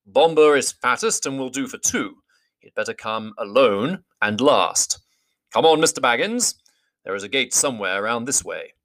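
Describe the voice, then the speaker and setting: A man voicing an old wizard, measured and formal in speech. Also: medium pitch, lively intonation, a bit bright, pitched above the performer's own voice. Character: old male wizard, courteous and grave.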